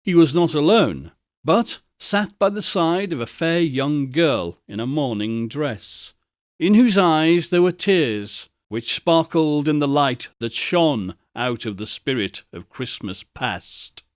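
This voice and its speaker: A man's voice: on the high side, lively intonation, takes it slowly, no echo, studio quality. A man reading a literary story aloud as a dramatic narrator. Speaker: narrator, audiobook reader.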